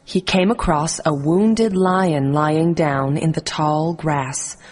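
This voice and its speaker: Low-voiced woman narrating a children's story. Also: takes it slowly, darkish tone. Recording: music underneath.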